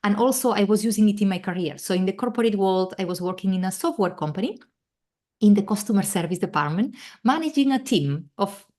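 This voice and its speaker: A woman's voice: mid-range voice, lively intonation, fairly quick, darkish tone. A middle-aged woman from Spain with a Spanish accent, talking earnestly as a guest on a video call with many fillers and restarts. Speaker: guest, travel coach. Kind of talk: casual interview chat.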